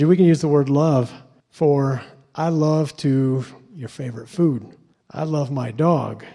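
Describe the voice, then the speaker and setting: A man's voice: medium pitch, warm tone, husky. A man from Ohio giving a sermon to a church congregation as a guest lay preacher, stumbling and repeating words with lots of fillers. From Ohio, USA.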